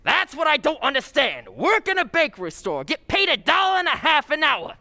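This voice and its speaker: A man's voice: extremely high pitch, wildly swooping pitch, a little fast, pushed and bright, full character voice. A young man playing a confused, exasperated man begging for easy money in an old-time radio comedy routine. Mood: exasperated, baffled. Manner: pleading, argumentative.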